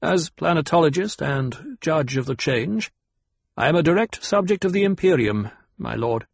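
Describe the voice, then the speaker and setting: A man's voice: a little high, animated, moderate pace, vocal fry, breathy edge, dry recording, pitched above the performer's own voice, exaggerated voice. A man voicing a proud, guarded planetologist in an audiobook, speaking in a stiff, curt, formal and emphatic way. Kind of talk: audiobook character acting.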